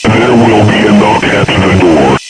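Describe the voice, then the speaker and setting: A man voicing an ominous, impersonal emergency broadcast announcer, formal and deliberate, in an analog horror video. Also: lowish voice, loud music behind the voice, natural voice. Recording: very noisy clicking radio-like broadcast.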